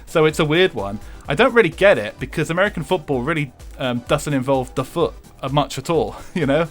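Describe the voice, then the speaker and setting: Laid-back English streamer, laughs a lot. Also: male voice, fairly high pitch, lively intonation, a little fast, faint music behind. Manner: laughs often, swears freely, chats with viewers. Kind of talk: casual chat while live-streaming a racing game.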